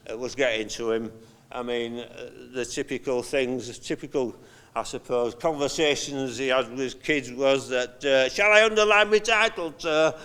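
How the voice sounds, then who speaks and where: An older man giving a halting, conversational memorial eulogy, telling anecdotes from notes with frequent pauses. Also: mid-range voice, fairly bright tone, clean tone. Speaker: eulogy speaker, friend of the deceased. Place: large hall at a memorial service. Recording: long hall reverb.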